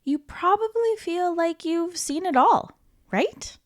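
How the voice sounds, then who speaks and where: A woman reading a children's book aloud as a storytime host, in an expressive, playful manner with questions to the listener and dramatic pauses. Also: extremely high pitch. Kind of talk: animated children's read-aloud.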